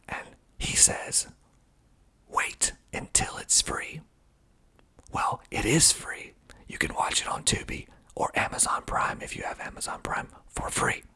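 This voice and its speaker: A man whispering breathily in ASMR style into a close mic, reading aloud slowly and deliberately with dry asides. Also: bright, forceful tone. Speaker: filmmaker and ASMR video host. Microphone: close mic with pop filter.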